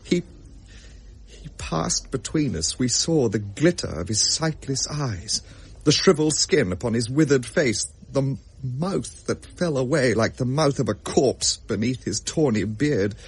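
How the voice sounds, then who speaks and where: A husky-voiced young gentleman, played by a man, haunted and shaken. He tells his tale in grave, old-fashioned phrases that halt and stammer, in the style of a period radio drama. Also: mid-range voice, animated, a little slow, heavily acted, rough recording. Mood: hushed dread.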